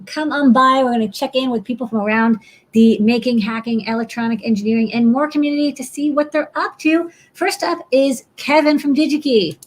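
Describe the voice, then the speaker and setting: A woman's voice: high voice, fairly quick. A woman hosting a casual live show in an upbeat, encouraging way. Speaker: show host.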